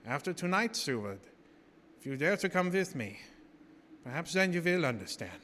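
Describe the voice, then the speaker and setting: An actor playing a grave, commanding professor who hunts vampires, delivering probing, theatrical speeches in gothic radio drama. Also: male voice, fairly high pitch, sing-song, moderate pace. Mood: solemn, determined. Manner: theatrical, probing rhetorical questions. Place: live theater stage. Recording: reverberant theater hall with noise.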